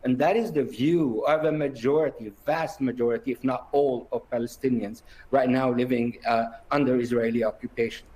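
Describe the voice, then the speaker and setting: A man's voice: medium pitch, fairly even intonation, normal speed, hardly any pauses, a little mellow, rough recording. A man from Jerusalem speaking as a guest in a live TV news interview, firm and emphatic.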